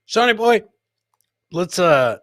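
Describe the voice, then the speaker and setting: A middle-aged man with a bright, forceful, fairly high voice hosts a casual livestream. He talks in slow, punchy phrases and repeats them like a hype man. The sound is close and dry on a podcast mic. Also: sing-song, dry recording. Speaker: live comedy show host. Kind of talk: casual livestream banter, reacting to clips and chat. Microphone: close podcast mic on boom, headset.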